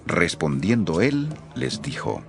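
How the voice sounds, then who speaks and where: Low, expressive male scripture narrator. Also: slow pace, gravelly, soft background music.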